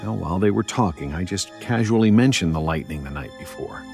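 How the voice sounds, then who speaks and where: A man's voice: low-pitched, expressive, fairly quick, creaky, a little mellow, soft background music. An actor playing a grown man who recounts an uneasy childhood memory in a colloquial, wry way, as first-person horror storytelling. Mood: uneasy, wry reminiscing.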